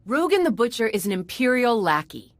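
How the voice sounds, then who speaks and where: A woman's voice: fairly high pitch, very animated, a little fast, no room sound. An actress playing a poised, self-assured female galactic senator in a video game, formal and confident. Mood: disdainful. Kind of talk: video game cutscene acting.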